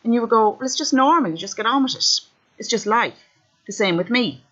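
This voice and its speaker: A woman with an Irish accent who speaks plainly and directly in the first person, giving steady testimony. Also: a little high, a little fast. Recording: dull lo-fi audio.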